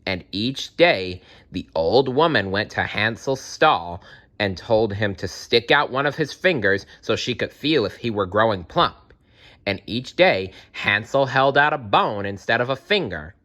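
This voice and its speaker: A man tells a children's fairy tale in a bright, animated voice. His pitch moves around a lot, and he reads playfully, reacting to the story as he goes. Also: a little slow. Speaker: storyteller reading aloud. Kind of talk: expressive fairy-tale read-aloud with asides.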